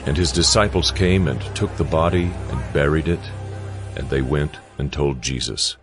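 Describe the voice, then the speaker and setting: Deep guy reading the bible like an audio drama narrator, solemn, measured, music behind. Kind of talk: scripted dramatized scripture narration.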